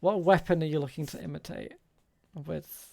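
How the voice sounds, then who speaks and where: A man narrating an improvised tabletop game as game master, halting and pausing between short phrases. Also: a little high, vocal fry, mellow, slight background noise.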